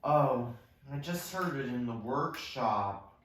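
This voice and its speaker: A woman plays a polite, cheerful male patient in an amateur musical read. The voice is low and very slow. It is heard in a very echoey room with loud music behind. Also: animated, distant mic, slight background noise, heavily acted.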